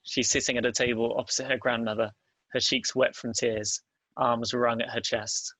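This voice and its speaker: A man's voice: medium pitch, flat delivery, moderate pace, pushed and bright, no room sound. A man reading stage directions as the narrator of a screenplay.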